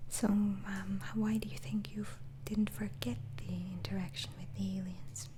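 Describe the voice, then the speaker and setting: A woman whispering in an ASMR roleplay as a doctor interviewing a patient, hesitantly asking questions and repeating answers back in a breathy voice. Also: mid-range voice, little pitch movement, moderate pace, background noise. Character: gentle, inquisitive female mental asylum doctor.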